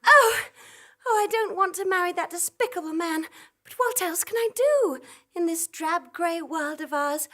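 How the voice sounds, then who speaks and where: A woman playing a gentle, anxious young farm woman with fretful melodrama in a live comedy radio play. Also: very high voice, lively intonation, normal speed, heavily acted. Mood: despairing. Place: theatre stage, live performance.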